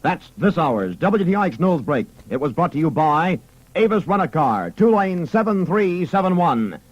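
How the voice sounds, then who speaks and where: A man reading a radio newscast from the late 1950s in a brisk, clipped headline style. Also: a little high, big pitch swings. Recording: muffled old AM radio broadcast.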